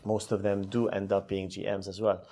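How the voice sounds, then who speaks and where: A man's voice: on the low side, little pitch movement, normal speed, mellow. A middle-aged man, telling his career story conversationally as an interview guest. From Lebanon. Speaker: podcast guest, hotel executive.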